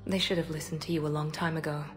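An actress as a composed female operative in a sci-fi game. Her voice sits low, and she speaks in a cool, controlled, guarded way, with music underneath. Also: little pitch movement, quick pace, natural voice. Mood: cool, measured. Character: poised, guarded woman operative, worried for her sister. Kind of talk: cinematic video game dialogue.

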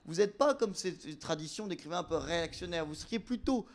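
A man's voice: fairly high pitch, animated, fairly quick. A young man asking conversational interview questions, wordy and rephrasing himself as he goes. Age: young adult. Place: indoor room with bookshelves. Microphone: handheld microphone.

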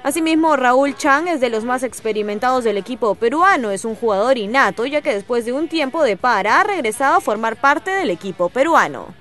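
A woman's voice: a little high, animated, fairly quick, hardly any pauses, a bit bright. A woman with a Peruvian accent narrating a scripted television sports report as its reporter.

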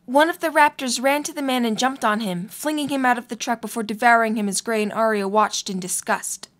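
A woman's voice: on the high side, brisk, a bit bright. A woman reading scripted story narration as the narrator of an audio drama.

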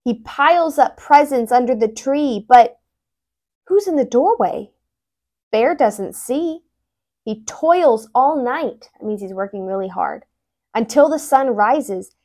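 A young woman reads a children's picture book aloud in an expressive, animated way, wearing a lapel mic indoors. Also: high-pitched, slow pace. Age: young adult. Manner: animated, with brief asides explaining words.

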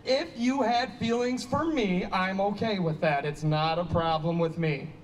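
A young man playing an awkward, apologetic straight friend in a staged play reading, speaking in a halting way. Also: high voice. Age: young adult. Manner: halting, sheepish. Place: small outdoor stage by a block wall. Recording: distant outdoor stage pickup, faint ambient noise.

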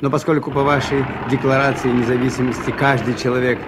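A man's voice: medium pitch, flat delivery, fast talker, noisy background, close to an everyday voice. A man playing a mild, shrewd priest detective in a radio play, speaking politely and hesitantly as he muses. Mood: musing, curious. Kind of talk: 1980s radio play acting.

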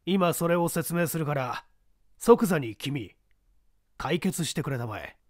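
A composed middle-aged gentleman, as a man reads him in a detective story audiobook, laying out a puzzle in measured, lecturing tones. His pitch rises and falls in wide, lively swings. The recording is close and dry. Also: dry recording. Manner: measured, lecturing, poses pointed questions.

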